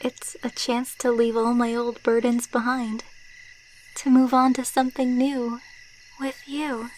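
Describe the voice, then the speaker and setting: A woman playing a tender, wistful immortal vampire girlfriend in an ASMR roleplay, speaking softly, intimately and sentimentally. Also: a little high, flat delivery, a little hiss or hum, lightly acted, rough recording. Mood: loving, earnest. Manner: soft, intimate, unhurried, sentimental.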